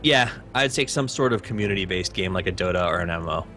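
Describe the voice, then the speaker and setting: A man's voice: deep voice, expressive, fast talker, bright, forceful tone, soft background music. A young man from Hawaii, talking casually as an interview guest, easygoing with frequent "uh" and "um". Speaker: interview guest, esports caster.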